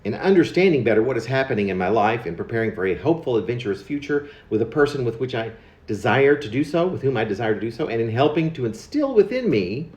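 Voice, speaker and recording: A man in his fifties reading his own confessional poem aloud, in a halting way with pauses mid-phrase and stressed words. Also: mid-range voice, big pitch swings, a little mellow. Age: 50s. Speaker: poet. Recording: dull lo-fi recording in echoey room.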